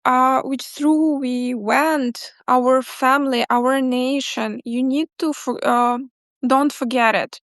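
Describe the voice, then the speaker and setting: Brief: woman in her twenties from Crimea, non-native English speaker, slow and halting, dry close sound. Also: sits high, fairly even intonation, a bit bright, no room sound. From Crimea, Ukraine. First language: Crimean Tatar. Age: 20s. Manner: halting, many fillers and restarts, apologetic. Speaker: interview guest. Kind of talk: casual interview talk.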